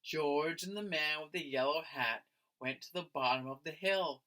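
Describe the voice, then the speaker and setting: A man, a teacher, reading a picture book aloud to young children in an expressive storytelling style. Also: on the high side, slight background noise. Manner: expressive, slow, addressing listeners as friends.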